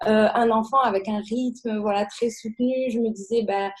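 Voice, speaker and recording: A woman's voice: a little high, fairly even intonation, moderate pace. Chatty young woman on a video call. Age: 20s. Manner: casual and chatty. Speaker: guest giving testimony.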